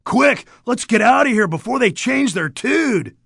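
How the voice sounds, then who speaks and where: An actor playing a laid-back, boastful surfer dude creature in a cartoon game, talking in upbeat beach slang. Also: male voice, very high-pitched, expressive, measured pace, vocal fry, fairly bright tone, husky, no echo, studio quality, full character voice. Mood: casual, conspiratorial. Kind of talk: animated game character dialogue.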